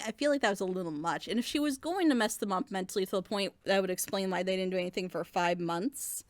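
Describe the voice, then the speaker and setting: A woman's voice: medium pitch, no room sound. A woman giving a casual, opinionated episode critique in a blunt, exasperated and sarcastic way. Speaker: reviewer in a group reaction show.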